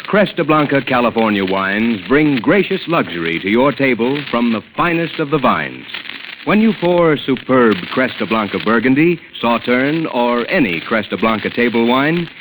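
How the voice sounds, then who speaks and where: A man's voice: mid-range voice, poor audio. A man reading a 1940s radio commercial as an announcer, polished and emphatic. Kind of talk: 1940s radio advertising read.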